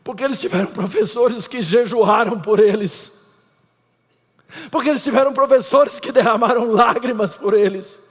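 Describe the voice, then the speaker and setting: A middle-aged Brazilian man with a Brazilian Portuguese accent, preaching an emphatic lecture-style sermon that swings between loud and hushed. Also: extremely high pitch, normal speed, slightly rough. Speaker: pastor. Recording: reverberant hall.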